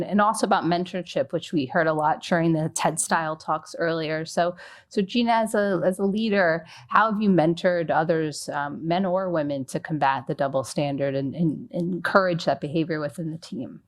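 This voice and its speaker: A woman's voice: lowish voice, steady tone, normal speed. Encouraging woman panel moderator. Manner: encouraging, asks follow-up questions.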